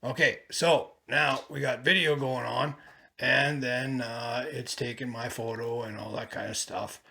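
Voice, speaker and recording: A man in his fifties with a rough voice, casually reviewing a camcorder at home and talking through each step as he handles it, with plenty of ums and uhs. He records himself in a small bedroom on the camera's own mic, sometimes standing several feet back. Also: mid-range voice, fairly even intonation, unhurried, sharp tone. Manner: rambling, talks while fiddling with gear, many fillers. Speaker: product reviewer. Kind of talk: casual hands-on product review. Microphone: camcorder's own shotgun mic, from a few feet to eight feet away.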